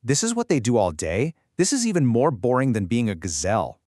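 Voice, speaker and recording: A man voicing a restless young female gazelle in a storybook tale, talking in an eager, excitable way. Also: medium pitch, brisk, dry recording, studio quality.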